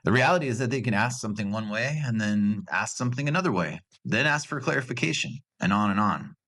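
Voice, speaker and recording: A man's voice: on the low side, sharp tone. A man giving a recorded class project presentation in a conversational way, with dry asides. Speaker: graduate student presenter.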